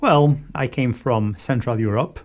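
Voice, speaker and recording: A man from Poland with a Polish accent, a guest organist calmly explaining things in a radio interview. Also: medium pitch, unhurried.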